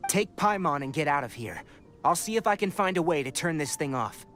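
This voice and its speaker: An actor playing a composed, protective young scholar in an anime video game, explaining things in a measured, clinical, serious manner. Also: male voice, a little high, lively intonation, close to an everyday voice. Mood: urgent. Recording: game audio with music behind.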